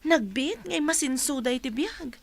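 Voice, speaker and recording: Envious, prying village wife in an Ilocano radio play, voice on the high side. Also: female voice, animated, normal speed, creaky, dry recording. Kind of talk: radio drama acting.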